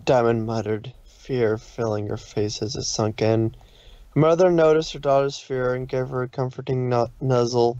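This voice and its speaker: Male fan fiction narrator, dramatic scripted read, mid pitch, slightly muffled and dry. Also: darkish tone, dry recording.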